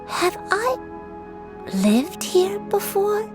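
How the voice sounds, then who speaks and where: An actress playing a frail, tender old grandmother with fading memory, speaking haltingly and wistfully in a video game. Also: extremely high pitch, very animated, over music, full character voice. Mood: puzzled, apologetic.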